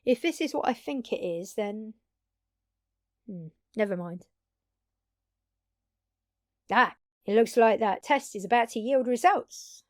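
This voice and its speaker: A woman playing a brash, excitable undead vampire in an audio drama, talking casually and expressively straight to the listener with salty language and dramatic pauses. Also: fairly high pitch, no echo, clean studio sound. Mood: awed, then curious, pleased. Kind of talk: intimate audio drama roleplay addressed to listener.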